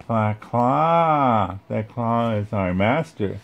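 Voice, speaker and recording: A man voicing small devout alien toys in a slow, solemn chant. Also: medium pitch, animated, gravelly, lo-fi sound, exaggerated voice. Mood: reverent. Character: little three-eyed alien toys, eerie and devout.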